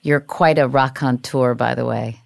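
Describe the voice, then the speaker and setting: A woman's voice: a bass voice, steady tone, unhurried, gravelly, no room sound, studio quality. A woman in her fifties hosting a podcast, chatty and self-deprecating, laughing easily. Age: 50s. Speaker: podcast host. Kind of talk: casual chat.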